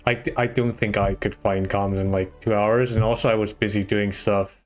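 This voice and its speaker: A man's voice: fairly low pitch, little pitch movement, moderate pace, soft background music. A man from Germany, the tournament organizer, giving casual, rambling live commentary on his own and chatting with viewers. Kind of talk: solo live esports commentary.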